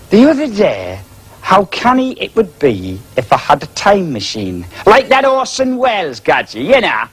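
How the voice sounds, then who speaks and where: A man playing a chatty Geordie football fan who rambles on in a deadpan way with a husky voice. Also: heavily acted. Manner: rambling, deadpan, lively pitch swings.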